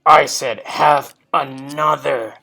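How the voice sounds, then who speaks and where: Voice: medium pitch, fairly even intonation, takes it slowly, creaky, heavily acted, low-quality recording. A reader voicing a furious, bullying older headmistress from a children's storybook, with a harsh, commanding, menacing delivery. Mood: sharp, insistent. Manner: commanding, menacing, shouted emphasis.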